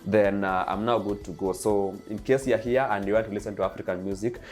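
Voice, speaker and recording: A young Kenyan man speaks in a low voice with a Kenyan accent. He talks eagerly and loosely, piling up repeated lists and filling his pauses with "uh". Faint music plays quietly beneath him. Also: steady tone. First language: Kikuyu. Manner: eager, repetitive lists, frequent uh fillers. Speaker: DJ and channel host. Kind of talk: casual vlog monologue.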